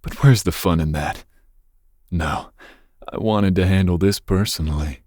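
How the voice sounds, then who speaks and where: A man playing a dangerous, possessive young mafia boss's son in an intimate ASMR roleplay, speaking breathily with smooth, teasing menace. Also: a little slow, a little mellow, dry recording, studio quality. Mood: taunting, intrigued. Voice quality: breathy, husky low voice. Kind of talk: ASMR roleplay, intimate second-person monologue.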